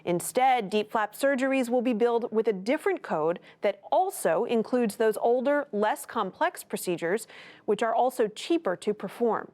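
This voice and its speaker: A woman correspondent reading a scripted news report in a measured, clear way, then introducing a guest. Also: a little high, animated, darkish tone. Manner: measured, clear newsreader delivery.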